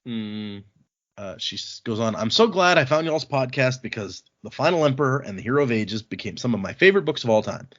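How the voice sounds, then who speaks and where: A man's voice: medium pitch, lively intonation, brisk, fairly bright tone, lo-fi sound. A man from Texas hosting a podcast, chatting casually and reading out listener mail. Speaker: podcast host.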